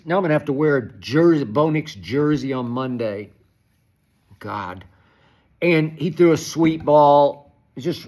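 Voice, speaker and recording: A man hosting a sports talk show, ranting with exasperation, often stopping and restarting. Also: a little high. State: hoarse, losing his voice. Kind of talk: animated solo sports commentary.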